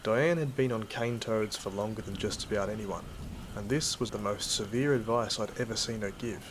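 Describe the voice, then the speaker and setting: Man as jaded Australian hunter, low pitch, blunt and sweary, gruff audio drama character, noisy rainy backdrop. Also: normal speed, lightly acted. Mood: weary, brooding. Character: gruff, world-weary male cane toad hunter. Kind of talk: first-person narration and dialogue in an audio drama.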